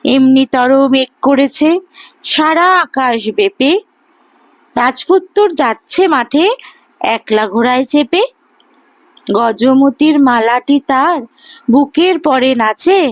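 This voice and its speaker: Female poetry reciter, high voice, unhurried childlike delivery, close dry recording. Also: animated, no room sound. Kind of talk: expressive Bengali poem recitation in a child's voice.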